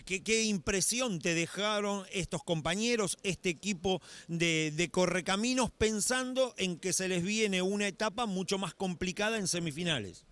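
A middle-aged man speaks into a handheld mic at the side of a basketball court. His voice sits high for a man, and his questions run long and formal. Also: normal speed, hardly any pauses, a bit bright. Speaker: courtside interviewer. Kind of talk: post-game sports interview. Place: indoor basketball arena.

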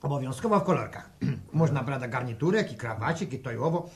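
Unsentimental door-to-door portrait seller, male actor, medium pitch, wheedling folksy tic-laden speech, 1960s radio drama. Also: animated, fast talker, lightly acted. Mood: wheedling salesmanship. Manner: folksy patter with tic words and repetition. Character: travelling portrait peddler, wheedling, unsentimental salesman.

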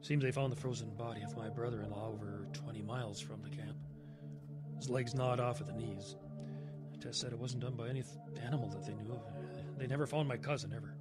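A man's voice: medium pitch, fairly even intonation, brisk, loud music behind the voice, close to an everyday voice. An actor playing a haunted older man telling stories in an audio drama, plain-spoken and folksy, with clipped phrasing and wry humor. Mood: haunted, grim.

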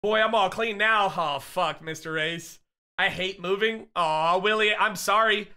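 Loud, profane man in his thirties greeting his chat on a headset, bright and high-voiced, voice going hoarse. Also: unhurried. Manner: loud, excitable, profane shout-outs to chat. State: losing his voice, getting sick. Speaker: streamer. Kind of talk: casual live-stream chat. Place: home streaming room.

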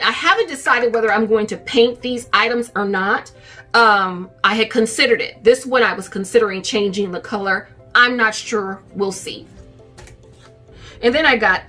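A woman's voice: medium pitch, a bit bright. A middle-aged woman chatting casually to camera as a vlogger, recorded indoors in a room at home. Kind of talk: casual shopping haul chat to camera.

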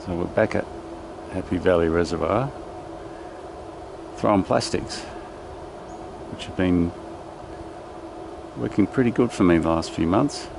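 A man talks through his fishing gear in a casual, matter-of-fact way, his voice deep and slow. There's a gravelly rasp to it, and the body-mounted camera picks up wind and lapping water around him outdoors. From Australia. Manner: laid-back, matter-of-fact gear talk with excited outbursts. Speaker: fishing vlogger. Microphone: body-mounted action camera.

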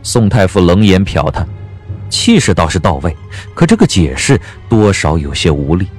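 Very deep, expressive male storyteller. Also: moderate pace, breathy edge, soft background music. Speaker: narrator. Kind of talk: dramatic scripted audiobook narration.

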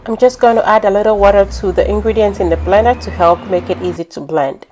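A woman's voice: mid-range voice, steady tone, fast talker, a little mellow, a little hiss or hum. A woman with a Ghanaian accent narrating a home cooking tutorial in a chatty, explanatory way. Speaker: home cook hosting a cooking channel. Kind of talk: instructional cooking narration, conversational.

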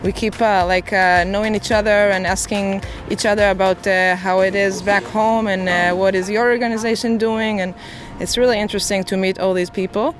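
A woman in her twenties from Israel, speaking haltingly with many fillers in an outdoor interview. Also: medium pitch, fairly even intonation, soft background music. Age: 20s. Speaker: youth worker. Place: outdoors by a sports field.

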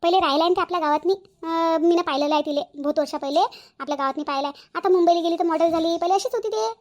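A woman's voice: very high-pitched, rapid-fire. A woman voicing a timid, curious village sidekick in a cartoon, exclamatory and questioning, with a Varhadi Marathi accent. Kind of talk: cartoon character dialogue.

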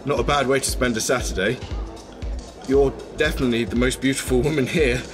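An actor playing a playful, flirtatious man in a radio drama, with a teasing, animated delivery. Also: mid-range voice, a little fast, natural voice. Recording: music under the voice.

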